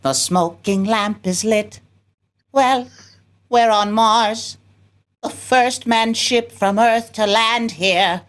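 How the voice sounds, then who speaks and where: A woman's voice: fairly high pitch, slow pace, pitched below the performer's own voice. A woman playing a stern, commanding male spaceship captain, barking orders in a sci-fi radio play. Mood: stern, rousing. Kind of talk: cold-read 1950s sci-fi radio play acting.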